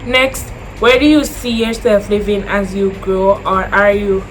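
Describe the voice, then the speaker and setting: A woman's voice: mid-range voice, a little slow, a little hiss or hum, rough recording. A twenty-year-old woman with a Jamaican accent, answering viewer questions in a casual chat to camera, slipping into Creole phrasing and repeating herself as she talks.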